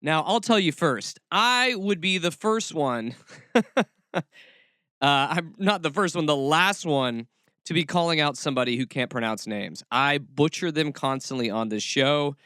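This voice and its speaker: A man's voice: mid-range voice, sharp tone, dry recording, clean studio sound. A man hosting a talk show, giving casual commentary peppered with wry, sarcastic asides. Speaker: podcast host.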